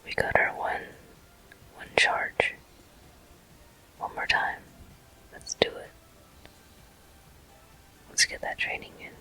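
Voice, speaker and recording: Soft, bright ASMR whisper. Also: rough recording. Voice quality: breathy, unvoiced whisper. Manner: soothing, unhurried, softly encouraging. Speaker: game streamer. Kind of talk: whispered ASMR gameplay commentary.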